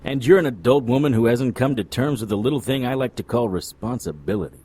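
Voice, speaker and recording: A man's voice: mid-range voice, lively intonation, quick pace, dark tone, breathy edge, no room sound. A man playing a jaded occult investigator in a noir audio drama, with a dry, wry, world-weary delivery. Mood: sardonic, hard. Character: jaded, sardonic male hard-boiled occult investigator. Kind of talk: noir audio drama acting with first-person narration.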